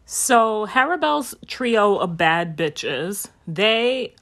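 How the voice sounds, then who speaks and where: Lively young woman rambling casually. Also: medium pitch, extremely slow. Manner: animated, rambling, swears freely, restarts often. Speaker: podcast host. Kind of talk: casual anime recap podcast.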